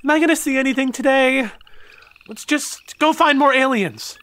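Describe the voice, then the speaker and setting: A man's voice: very high voice, moderate pace, pushed and bright. A man playing a whiny, eager boy. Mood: excited. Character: eager, grumbling boy obsessed with aliens. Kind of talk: audio drama acting.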